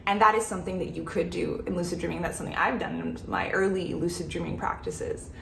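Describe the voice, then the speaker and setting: Earnest woman with a gravelly voice. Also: lowish voice, steady tone, quick pace, roomy sound, background noise. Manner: reflective and earnest, with fillers and restarts. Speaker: spiritual channel host. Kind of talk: personal talk to camera.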